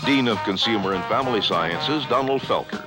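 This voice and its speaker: A man's voice: on the low side, lively intonation, moderate pace, low-quality recording. A man narrating a university newsreel from a script, in the manner of a year-in-review report. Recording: music bed under the voice. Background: loud background music.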